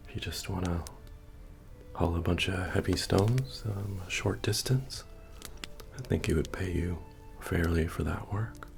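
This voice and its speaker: A man playing a friendly, humble medieval fantasy innkeeper in a soft-spoken, deep-voiced, understated ASMR roleplay. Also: a little slow, gravelly. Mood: easygoing, musing. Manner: hesitant, rambling, understated delivery. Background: bard music.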